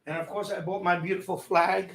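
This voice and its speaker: A middle-aged man hosting a livestream in casual, rambling chat, halting often and repeating himself informally. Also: high voice, flat delivery, a bit bright, slightly rough. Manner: halting, repetitive, informal speech. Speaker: livestream host.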